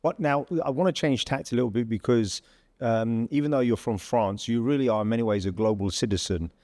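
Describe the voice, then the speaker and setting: A man's voice: mid-range voice, fairly quick, a little mellow, no echo. A man hosting a podcast interview, asking long conversational questions. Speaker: podcast host.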